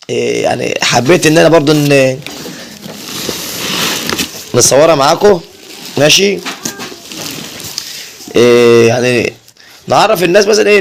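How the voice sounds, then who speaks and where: Egyptian male family vlogger, rapid informal chat, repetitive, viewer-directed delivery. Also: fairly high pitch, vocal fry, slight background noise. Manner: repeats himself, often addresses viewers.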